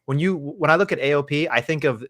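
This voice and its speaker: A man's voice: fairly high pitch, fast, a bit bright. A man co-hosting a casual wrestling podcast, rambling and profane, often laughing as he talks. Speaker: podcast co-host.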